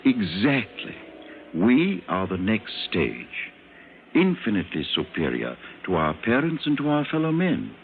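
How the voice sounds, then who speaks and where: On a thin, faintly noisy old radio recording, a superior evolved doctor. His lowish voice swoops dramatically as he explains himself with lofty, patient condescension. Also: wildly swooping pitch, narrow, tinny audio, heavily acted. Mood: lofty lecturing. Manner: lofty, didactic, deliberate.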